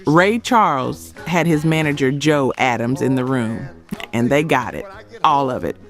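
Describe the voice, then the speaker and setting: A man delivering scripted documentary narration in emphatic short phrases with dramatic pauses. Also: mid-range voice, animated. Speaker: narrator of a music history video. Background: background music.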